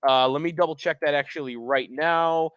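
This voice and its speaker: Man, podcast host, fighting a cold, energetic chatty delivery, dry close mic. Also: on the high side, normal speed, clear, resonant tone, no room sound, dull audio. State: fighting a cold, losing his voice. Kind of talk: sports podcast talk.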